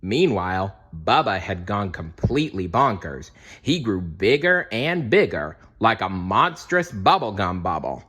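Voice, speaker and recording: Animated, slow-paced man reading a storybook. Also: lowish voice, slightly rough. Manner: dramatic, explains asides to young listeners. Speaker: storybook reader. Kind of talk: animated children's read-aloud narration.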